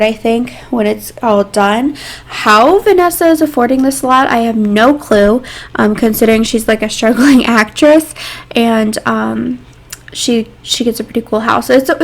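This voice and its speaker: A woman's voice: on the high side, vocal fry. A young woman with a congested, nasal voice, chatting casually over a game build with lots of ums and likes.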